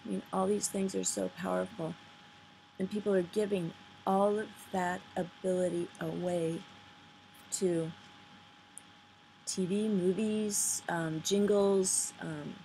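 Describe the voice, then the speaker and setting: A woman giving an informal, earnest spoken commentary, pausing between phrases and sometimes reading aloud, on a noisy amateur recording. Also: mid-range voice, steady tone, gravelly, warm tone. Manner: earnest, halting delivery with pauses between phrases. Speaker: vlogger. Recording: noisy amateur recording, poor sound quality.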